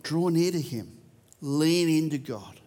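Australian man, pastor, sermon, conversational, higher-pitched, reverberant hall. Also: expressive, takes it slowly, mellow. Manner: conversational preaching, reading scripture aloud.

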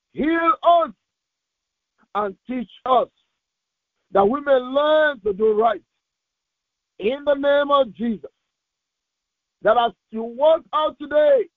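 Man, pastor, strikingly high pitch, fervent Pentecostal prayer, insistent repetition, phrase-by-phrase delivery, tinny narrow-band radio sound. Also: dry recording. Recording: thin, phone-like radio sound.

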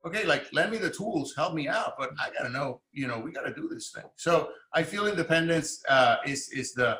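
Man from puerto rico chatting on a podcast, bright tense voice, echoey room. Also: a little high, a little fast. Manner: animated, thoughtful, many restarts. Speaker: guest, arts and Spanish teacher. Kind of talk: casual podcast conversation.

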